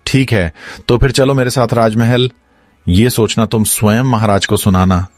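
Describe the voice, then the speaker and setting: A man playing a commanding, incredulous young prince in a cartoon moral story, with brisk, questioning delivery. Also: fairly low pitch, fairly even intonation, dry recording, soft background music, close to an everyday voice. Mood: startled, commanding.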